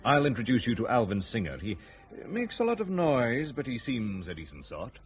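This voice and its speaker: A man's voice: mid-range voice, huge pitch swings, moderate pace, music in the background. An actor playing a fair-minded, wry man in a radio drama. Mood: measured, wry.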